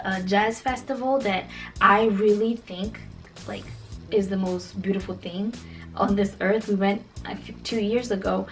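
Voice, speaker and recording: A woman in her late twenties from Kenya talks to her viewers in a casual vlog. Her voice sits at a middle pitch, and her delivery is playful, animated and emphatic. Music plays behind her. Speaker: vlogger.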